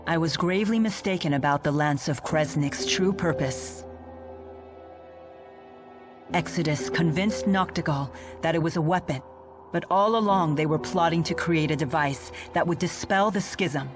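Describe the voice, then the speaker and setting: A woman's voice: sits low, moderate pace, natural voice. A woman voicing a solemn, dignified spirit in a video game, speaking formally and with composure. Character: solemn, dignified great spirit in female form. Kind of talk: English video game cutscene voice acting. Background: music.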